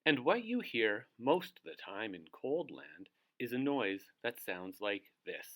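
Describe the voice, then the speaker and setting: A man's voice: lowish voice, sing-song, a little slow, a bit bright. A man hosting a read-aloud for young children, narrating theatrically and putting questions to his young listeners.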